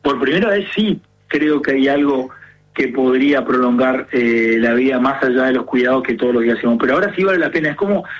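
A man's voice: mid-range voice, expressive, fairly quick, highs cut off. A man with an Argentine accent, a gerontologist explaining earnestly in a radio interview, with many fillers. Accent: Argentine Spanish. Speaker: gerontologist guest.

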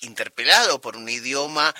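Give me a relaxed Argentine man asking questions, bright and crisp. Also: medium pitch, normal speed, no room sound. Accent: Argentine Spanish. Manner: relaxed, prompting questions with filler sounds. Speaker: interviewer. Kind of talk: casual interview conversation.

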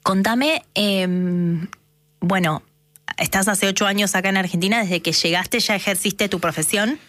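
A woman's voice: medium pitch, measured pace, fairly bright tone, dry recording, studio quality. Chatty woman host. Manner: friendly, chatty questions with fillers. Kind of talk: radio-style interview hosting.

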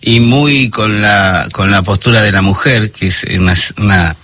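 A man with a Buenos Aires accent presenting music on the radio, hoarse today, explaining things at length with many pauses and fillers. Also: lowish voice, sharp tone, slight background noise. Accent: Porteño Argentine Spanish. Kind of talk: casual radio chat.

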